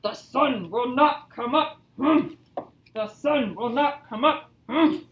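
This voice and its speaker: A woman voicing a big, boastful, stubborn storybook bear who proclaims slowly and emphatically. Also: high-pitched, sing-song, lo-fi sound, slight background noise, exaggerated voice. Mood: stubborn chanting. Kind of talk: children's storybook read-aloud. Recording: echoey room.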